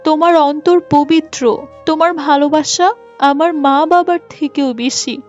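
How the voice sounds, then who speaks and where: A woman voicing a pious young child praying earnestly in a moral cartoon, in a pleading recitation. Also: high-pitched. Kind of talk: dubbed moral cartoon acting. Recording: muffled audio with music behind.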